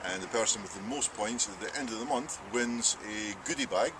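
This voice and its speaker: A man with a Scottish accent co-hosting a casual unboxing chat outdoors at an archery range, talking in a relaxed, wry way. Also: lowish voice, fairly quick, noisy background. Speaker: archery shop co-host.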